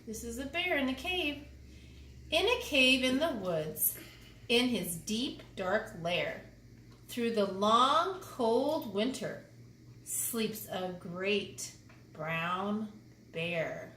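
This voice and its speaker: Woman reading slowly and animatedly to kids. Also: a little high. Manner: slow, animated, pausing and explaining to children. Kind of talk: expressive picture-book read-aloud for kids. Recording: roomy echo with faint background noise.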